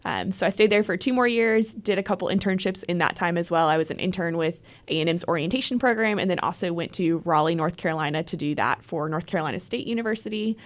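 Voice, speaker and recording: Chatty young Texan woman, lowish voice. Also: fast, hardly any pauses. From Texas. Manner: friendly, chatty, agreeable, with frequent "like" and "um". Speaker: guest, leadership program coordinator. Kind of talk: casual interview chat.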